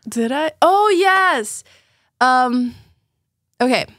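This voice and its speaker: A woman's voice: high-pitched, animated, very slow, a bit bright, dry recording, studio quality. A young woman from Brazil with a Brazilian Portuguese accent, chatting as a podcast guest, with lots of fillers and laughter. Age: young adult. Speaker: guest, former student. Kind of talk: casual podcast chat.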